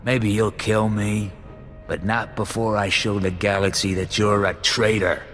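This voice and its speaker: A bitter, proud officer, low-pitched and blunt, with contempt in every word. A long reverb and a game score sit behind him. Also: male voice, level tone, measured pace, a bit bright, soft background music. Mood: contemptuous.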